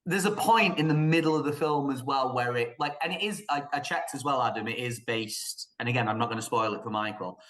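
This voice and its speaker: A man's voice: medium pitch, brisk, few pauses, a bit bright. A man co-hosting a casual film discussion, talking in an opinionated, emphatic way. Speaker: podcast co-host and film reviewer. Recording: roomy, echoey sound.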